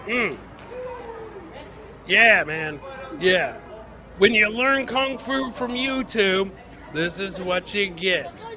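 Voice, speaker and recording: A man's voice: high-pitched, lively intonation, very slow, pauses often, a crowd in the background. A middle-aged man doing casual, jokey live commentary on video clips as a comedian host, often repeating his catchphrases. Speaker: comedian hosting a livestream clip show.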